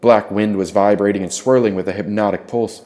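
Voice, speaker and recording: A man's voice: lowish voice, fairly even intonation, fairly quick, mellow. A man reading an audiobook as a dramatic first-person story narration. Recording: echoey, long reverb tail.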